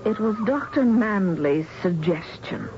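Radio drama heroine: actress as polite, anxious young woman, medium pitch, muffled noisy recording. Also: lively intonation, unhurried, warm tone, close to an everyday voice. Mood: polite, guarded. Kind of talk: 1970s radio drama acting.

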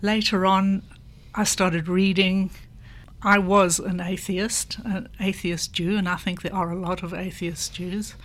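An older woman, recounting her personal history in a measured, reflective way. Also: mid-range voice, level tone. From South Africa. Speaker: panel guest. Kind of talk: personal recollection in conversation.

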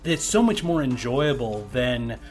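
A man's voice: mid-range voice, very animated, a little slow, faint music behind. A middle-aged man co-hosting a podcast introduction in a conversational style. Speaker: podcast co-host, actor.

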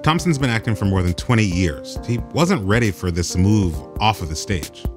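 A male radio host with a low voice and very lively, varied intonation. He narrates a documentary from a script, with music underneath. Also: moderate pace.

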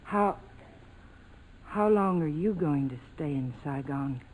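An actress playing a defiant, wary young woman with sharp, clipped retorts in a 1940s radio drama. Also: low voice, lively intonation, slow pace, full character voice. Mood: softened, guarded. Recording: vintage radio broadcast, reverberant.

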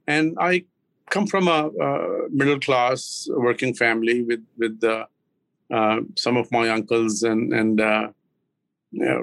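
An older man from Pakistan, in his sixties or beyond, with a Pakistani accent, talking reflectively as an interview guest in a measured, hesitant way with frequent pauses. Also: medium pitch, a bit bright, dry recording, poor audio. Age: 60s or older. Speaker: interview guest, business owner.

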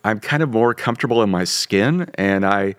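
A man in his fifties, a pastor, talking in a relaxed, joking, self-deprecating way. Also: lowish voice, normal speed, no room sound, studio quality. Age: 50s. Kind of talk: casual podcast conversation.

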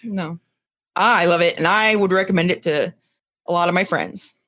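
A woman's voice: lowish voice, normal speed, fairly bright tone, no echo, clean studio sound. A woman co-hosting a podcast in casual chat, speaking informally. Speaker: podcast co-host.

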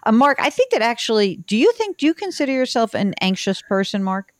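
A woman's voice: a little high, big pitch swings, fairly quick, dry recording. Chatty woman podcast host, dry close sound. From New York. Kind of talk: casual chat, audience address.

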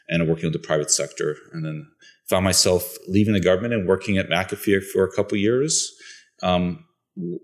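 A middle-aged man from Bulgaria, a podcast co-host, chatting casually and telling his background with frequent restarts. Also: low voice. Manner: conversational storyteller with restarts.

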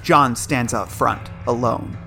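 A man narrating a scripted audio drama in a deliberate, descriptive manner. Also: mid-range voice, vocal fry, over music.

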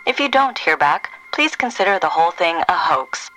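A woman playing the cryptic, ominous answerphone voice of a secret agency in an audio drama, speaking with deliberate menace. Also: on the low side, sing-song, sharp tone, faint music behind, low-quality recording. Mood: mysterious, commanding.

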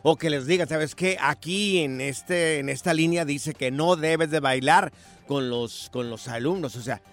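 A Mexican man co-hosting a radio show, chatting in a playful, animated way. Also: on the high side, a little slow, faint music behind. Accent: Mexican Spanish. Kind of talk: casual radio banter.

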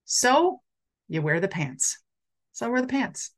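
A woman's voice: on the high side, big pitch swings, unhurried. A middle-aged woman hosting a casual live stream, talking animatedly to viewers and reading out their comments.

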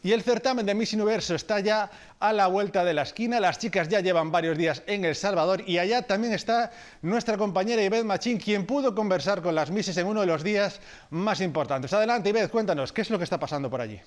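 A man's voice: sits high, fast. A man anchoring a news show, presenting stories and handing over to colleagues. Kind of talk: news presenting with co-host banter.

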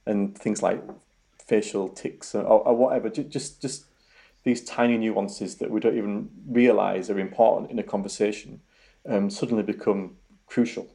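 A young man with a Yorkshire accent answers interview questions. His voice sits low and has a gravelly edge. He keeps stopping to restart his words, with many ums along the way. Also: fairly even intonation, moderate pace, warm tone. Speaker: robotics researcher guest.

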